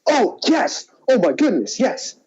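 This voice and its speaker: A man co-hosting a movie podcast in an animated, exclamatory, casual way, on a slightly dull recording. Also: extremely high pitch, measured pace, slightly rough. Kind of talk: casual chat about films.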